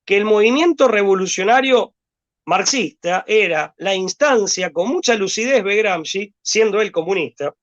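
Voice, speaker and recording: Argentine man from Rosario, high-pitched, thinks aloud with many fillers, muffled lo-fi audio. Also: animated, normal speed, a bit bright. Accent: Rosario Argentine Spanish. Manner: rambling, hesitant with repeated words and fillers. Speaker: guest. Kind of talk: discursive conversation.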